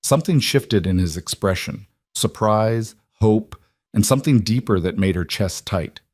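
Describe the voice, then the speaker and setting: A man's voice: on the low side, expressive, normal speed, darkish tone, dry recording, studio quality. A man reading a scripted dramatic romance story as an audiobook narrator.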